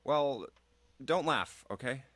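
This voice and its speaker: An actor playing a curious young man in a video game, eager and thinking out loud. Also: medium pitch, lively intonation, slow, no room sound. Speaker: voiced game protagonist.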